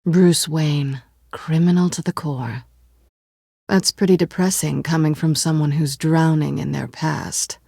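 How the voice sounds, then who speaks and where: An American woman playing a sly, guarded cat-burglar in a video game, teasing and coolly wry, with a husky, breathy voice. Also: low-pitched, steady tone, a little slow, dark tone, dry recording, clean studio sound, exaggerated voice.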